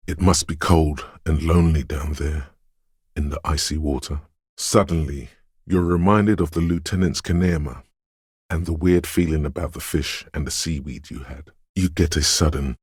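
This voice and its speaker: Game inner voice of intuition: extremely low, heavily rasping, dreamlike and ominous, lingering on poetic phrases. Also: male voice, moderate pace, no echo, studio quality, full character voice. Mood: dreamy, melancholic. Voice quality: husky, gravelly bass. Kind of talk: atmospheric second-person video game narration.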